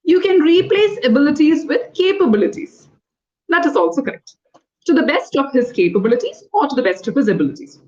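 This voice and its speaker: High-voiced woman trainer. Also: sing-song, brisk, a little mellow, muffled recording. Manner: patient, corrective, spells words out letter by letter. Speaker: English trainer. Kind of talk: one-on-one English lesson.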